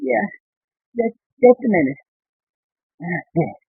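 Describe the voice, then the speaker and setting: An actor playing a flustered, sleepy, gullible young husband in a 1940s radio comedy, stammering and evasive, on a muffled old broadcast recording. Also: male voice, high-pitched, sing-song, takes it slowly, vocal fry, husky, no echo, full character voice. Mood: nervous, flustered.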